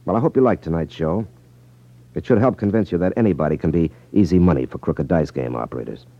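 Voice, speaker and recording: An American actor playing a cool, wry magician detective in a 1950s radio drama, with a teasing, unruffled manner. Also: male voice, low voice, fast talker, dry recording. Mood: genial, confident. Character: cool, wry magician detective who exposes gambling rackets. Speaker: lead actor in a detective series. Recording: muffled 1950s radio broadcast recording.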